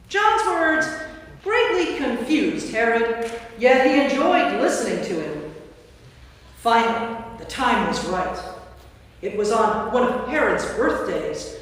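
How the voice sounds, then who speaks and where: A woman's voice: fairly high pitch, a bit bright. A woman giving a scripted dramatic narration of scripture as part of a staged reading. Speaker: narrator, priest. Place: large indoor church. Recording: echoey church hall from far off.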